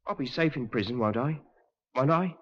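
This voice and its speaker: A male actor plays a rough, desperate criminal in a fifties radio drama. His slangy speech swoops up and down in pitch. The old recording is muffled, with long reverb. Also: lively intonation, normal speed, lightly acted. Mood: frightened, pleading. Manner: rough working-class slang speech with bad grammar. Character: desperate, violent London criminals plotting a robbery. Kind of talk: 1950s radio drama acting.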